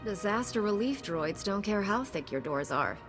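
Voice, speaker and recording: A woman's voice: medium pitch, lively intonation, moderate pace, natural voice. An actress playing a self-assured heroic woman knight in a video game, speaking firmly and directly. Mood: stern. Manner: firm, composed, direct. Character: resolute, self-assured female Jedi knight hero. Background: game music.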